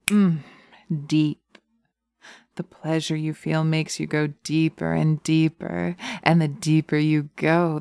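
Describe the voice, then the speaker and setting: A woman playing seductive, taunting witches in a slow hypnosis roleplay, speaking in a hushed, dominant manner close to the microphone. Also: sits low, creaky, dry recording, full character voice. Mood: sultry, hypnotic. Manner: sultry, slow, hushed, often dropping to a whisper. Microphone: close binaural microphone.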